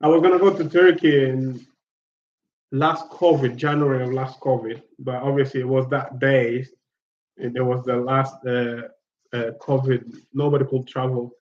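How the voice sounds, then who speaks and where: A man's voice: mid-range voice, level tone, normal speed, a little mellow. A man from Guinea-Bissau, hosting a casual chat, talks in a hesitant and reflective way, often repeating himself as he gathers his thoughts. His voice sits in the middle of the usual range for a man. The audio is dull and lo-fi, with a roomy echo around him. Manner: hesitant and reflective, repeats words while searching for them.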